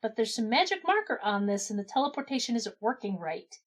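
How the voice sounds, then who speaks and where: A woman playing a brisk, wry gnome adventurer in a comedy audio drama. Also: on the high side, sing-song.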